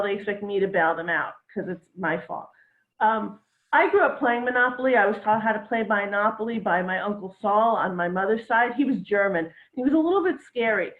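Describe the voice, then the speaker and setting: A middle-aged woman performing a stand-up comedy routine from home, delivering dry, self-deprecating one-liners. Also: mid-range voice. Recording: echoey, phone-like home recording.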